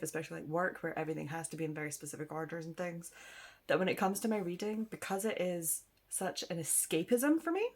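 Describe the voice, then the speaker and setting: A woman rambling casually to camera about her reading, sniffly from a cold, chatty and self-deprecating with frequent ums and laughs. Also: lowish voice. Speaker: book vlogger. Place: indoors at home.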